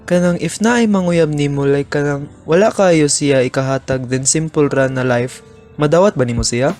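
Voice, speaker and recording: A man's voice: fairly high pitch, moderate pace, a little mellow, faint music behind, natural voice. A young man playing a shy heartbroken suitor. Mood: nervous. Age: young adult. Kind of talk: student radio drama acting.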